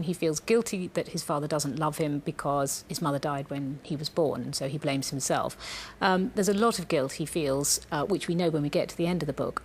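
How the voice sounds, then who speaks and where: A woman with a very low voice talks through a novel's themes analytically. A faint rumble of noise sits under her voice. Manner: articulate, analytical. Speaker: book panelist. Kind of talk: panel discussion.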